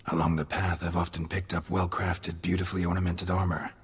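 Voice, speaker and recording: A man playing a gruff, world-weary monster hunter in a video game, husky and gravelly, terse and dryly understated. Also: deep voice, flat delivery, fairly quick, in a large hall. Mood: matter-of-fact.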